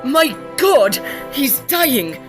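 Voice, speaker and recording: A woman playing an anxious, well-meaning, fretful young student in an audio drama, with a husky voice. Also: high voice, big pitch swings, drawn-out words, music in the background, full character voice. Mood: alarmed. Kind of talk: acted audio drama dialogue.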